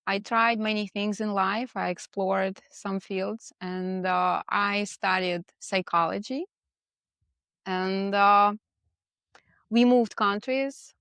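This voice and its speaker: A woman's voice: mid-range voice, steady tone, slow, a bit bright, dry recording. A woman from Russia with a Russian accent, telling her own story as a guest in a casual interview. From Moscow, Russia. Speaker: podcast guest, portrait photographer.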